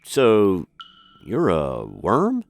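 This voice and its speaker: Straight-man lead, male actor: puzzled American captive in a radio sitcom, courteous, lowish and very animated intonation. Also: extremely slow, faint music behind, full character voice. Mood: polite curiosity. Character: bewildered, polite American man chained in a dungeon. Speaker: narrator star of the show. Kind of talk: comic radio sitcom acting.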